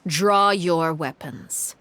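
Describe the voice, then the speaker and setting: An actress playing a stern woman who commands a strict house in a video game, speaking formally, coldly and with measured control. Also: lowish voice, fairly bright tone, no room sound. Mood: cold, authoritative.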